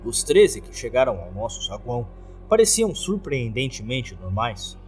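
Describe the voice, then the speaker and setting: A man's voice: mid-range voice, big pitch swings, unhurried. A man with a Brazilian accent reads a scripted horror story as its first-person narrator. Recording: music behind the voice.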